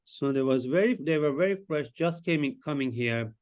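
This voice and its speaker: A man from Iran, a Farsi speaker, presenting his research as a psychiatrist in a lecture and pausing often to search for words. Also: mid-range voice, expressive, darkish tone. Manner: explanatory, searches for words with frequent hesitations.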